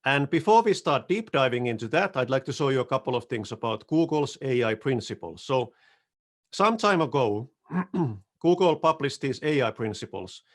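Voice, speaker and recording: Finnish-accented middle-aged male presenter, easygoing and wry, headset mic on a video call, occasional throat clearing. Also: mid-range voice, normal speed. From Finland. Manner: relaxed, self-deprecating, occasional restarts and throat clearing. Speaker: solutions architect presenting. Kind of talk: conference talk over video call.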